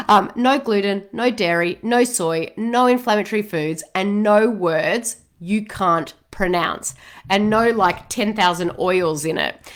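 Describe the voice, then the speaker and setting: A woman's voice: medium pitch, fairly bright tone. A woman in her thirties from Australia, with an Australian accent, hosting a casual weekly live Q&A for parents from a home office, chatty and upbeat with frequent fillers. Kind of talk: casual livestream advice talk. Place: home office room, indoors.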